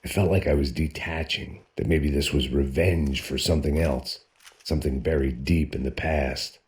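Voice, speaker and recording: A weary, self-loathing American antihero narrating a noir crime game. His voice is extremely deep, with a deadpan, brooding delivery and faint noise behind it. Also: normal speed. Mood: bitter, resigned. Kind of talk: scripted noir voice-over narration and game dialogue.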